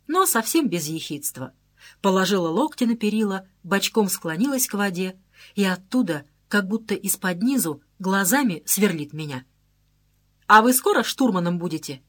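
A woman narrating an audiobook in the first person, with a lively, chatty storytelling manner. Her pitch sits at a middle height for a woman.

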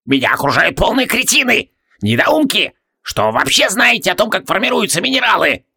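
A man voicing a frantic, cranky old mad alchemist in a fantasy audiobook, husky and barking, repeating himself excitedly. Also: medium pitch, brisk, pitched above the performer's own voice, full character voice. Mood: indignant.

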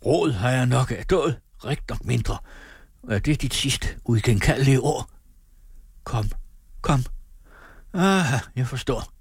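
A man performs a rejected suitor from a classic novel, wounded and bitter. The delivery is declamatory and melodramatic. The voice is somewhat husky and closely recorded, with little room sound. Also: medium pitch, animated, pauses often, dry recording, clean studio sound, voice pushed up. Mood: bitter accusing. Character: bitter rejected suitor, pleading then raging and threatening.

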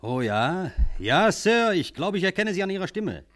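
A man's voice: high voice, sing-song, normal speed, a bit bright. An actor playing an eager, flustered police switchboard operator with an officious, astonished manner in a 1950s radio crime satire.

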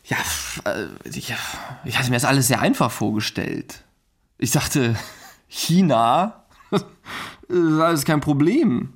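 German husband, easygoing, freedom-loving, casual hesitant delivery, mid-pitched voice, radio play. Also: male voice, animated, takes it slowly, slightly rough, exaggerated voice. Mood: wry, casual. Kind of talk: documentary radio play monologue.

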